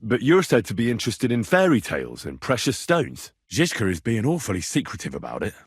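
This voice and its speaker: Medieval game hero: young British commoner, earnest and inquisitive, husky, animated delivery, informal and plain-spoken. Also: male voice, medium pitch, a little fast, dry recording, studio quality, full character voice. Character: earnest, curious young blacksmith's son in medieval Bohemia. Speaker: video game voice actor. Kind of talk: game dialogue lines.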